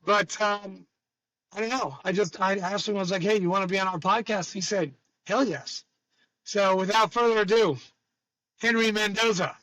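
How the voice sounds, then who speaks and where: A man's voice: high voice, fairly even intonation, moderate pace, a bit bright, dry recording. A man hosting a podcast with informal, jokey buddy banter, on a slightly dull recording. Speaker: podcast host, Marine veteran. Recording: slightly dull, band-limited sound.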